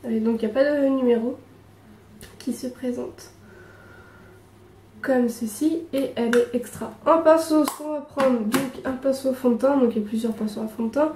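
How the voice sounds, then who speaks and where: A young woman with a hoarse, cold-roughened voice, hesitantly talking through a makeup tutorial. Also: sits high, darkish tone, far from the mic, a little hiss or hum. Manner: hesitant, many pauses and restarts. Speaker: beauty vlogger. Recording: echoey room, away from the mic.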